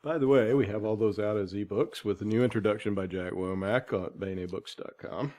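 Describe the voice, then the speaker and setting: A man's voice: lowish voice, fairly quick, a little mellow. A man interviewing a guest as podcast host, chatting informally and jokingly with loosely phrased questions. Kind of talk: casual interview chat.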